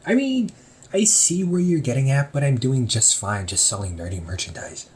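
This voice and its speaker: A man's voice: mid-range voice, expressive, moderate pace, slight background noise. A young man playing an easygoing shop owner talking to his sibling in a one-sided audio roleplay, teasing and laughing often. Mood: defensive.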